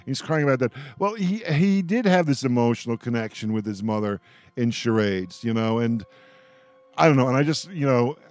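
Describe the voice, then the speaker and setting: Male talk host, mid-pitched, casual and emphatic, circles back over his words. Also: animated, moderate pace, darkish tone, dry recording. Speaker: radio host moderating a roundtable. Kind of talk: casual roundtable chat.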